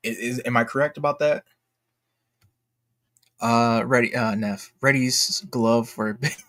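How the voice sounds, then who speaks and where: A man's voice: medium pitch, unhurried, fairly bright tone. A man hosting a casual livestream, speaking in informal slang with a self-deprecating, jokey manner and often repeating himself as he goes. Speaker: livestream host.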